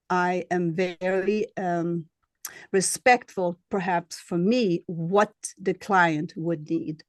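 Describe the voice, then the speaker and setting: A woman with a Swedish accent, a healer being interviewed, who speaks in a halting way and searches for her words. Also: lowish voice, dry recording. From Sweden. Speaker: guest, shamanic healer and astrologer. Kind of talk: casual interview.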